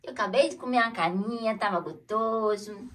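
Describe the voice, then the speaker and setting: A woman's voice: medium pitch, normal speed, a bit bright. Chatty woman touring her home. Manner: chatty, addresses viewers, pointing things out. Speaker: home vlogger. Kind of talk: casual home tour chat. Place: cold stone house basement, indoors. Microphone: handheld phone camera.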